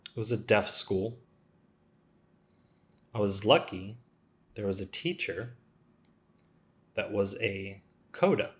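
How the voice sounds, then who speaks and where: A man voicing interview answers for a signing guest, in a measured, unhurried delivery. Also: lowish voice, fairly bright tone.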